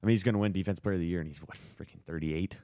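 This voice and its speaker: A man's voice: low-pitched, racing, mellow, dry recording, studio quality. A young American man chatting casually about sports as a podcast co-host, with an opinionated, emphatic, slangy way of talking.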